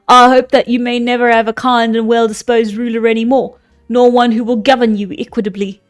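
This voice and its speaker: A woman's voice: fairly high pitch, flat delivery, normal speed, faint music behind, natural voice. A woman voicing a stern, indignant old male counselor, declaiming to an assembly.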